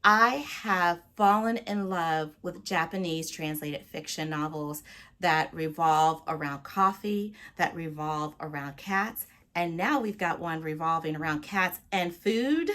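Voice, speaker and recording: A woman talking casually to viewers as a book vlogger, with an expressive, playful and emphatic delivery. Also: low voice, unhurried. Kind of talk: casual, chatty talk to viewers.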